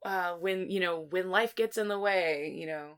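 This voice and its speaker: A woman's voice: fairly low pitch, normal speed, clean tone. A woman co-hosting a podcast, talking casually and ramblingly in an informal, teasing film review chat. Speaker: podcast co-host.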